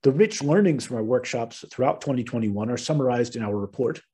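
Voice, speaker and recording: A middle-aged man with an American accent, hosting an online webinar and giving formal opening remarks in a measured, earnest way. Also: medium pitch, lively intonation, mellow, slightly rough. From United States. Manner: measured, earnest, occasional ums and restarts. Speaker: webinar host and moderator. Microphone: video call webinar.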